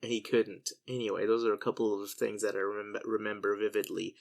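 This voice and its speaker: A man's voice: lowish voice, level tone, a little fast, gravelly. Wry storytelling man from India. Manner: wry, storytelling, acts out quoted voices. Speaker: podcast co-host. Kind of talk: casual chat.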